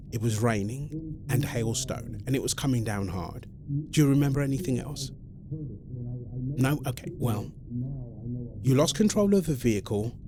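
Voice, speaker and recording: A man playing a centuries-old vampire boyfriend in an ASMR roleplay, exasperated but protective, speaking haltingly and pleadingly to the listener. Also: mid-range voice, close to an everyday voice. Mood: hesitant, careful. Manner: hesitant, halting, pleading, addresses listener directly.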